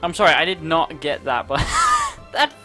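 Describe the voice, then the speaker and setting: Expressive low-voiced woman streaming games. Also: unhurried, over music. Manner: giggly, expressive reactions. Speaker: game streamer. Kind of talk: casual gaming let's play commentary.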